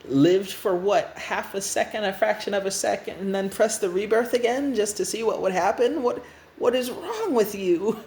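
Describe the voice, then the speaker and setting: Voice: mid-range voice, moderate pace, darkish tone, pitched above the performer's own voice, natural voice. A narrator voicing an exasperated, scornful commander who rants and stammers melodramatically in a comic story. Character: exasperated, scornful, panicky commander of a doomed universe. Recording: echoey room, faint noise.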